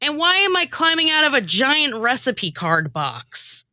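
A woman's voice: sits high, very animated, unhurried, bright, forceful tone, dry recording, clean studio sound. A woman performing scripted comic radio theatre, narrating in a theatrical storytelling manner. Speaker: former co-host and narrator.

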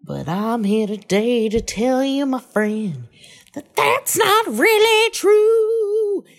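Female comic singer, very high register, slow and widely varied melody. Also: animated. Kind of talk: comic musical song performance.

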